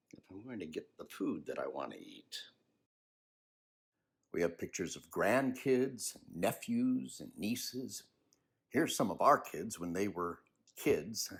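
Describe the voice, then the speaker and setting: Older male storyteller, husky tone, hugely varied pitch, expressive and unhurried delivery. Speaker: children's book author reading aloud. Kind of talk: personal commentary and storybook reading.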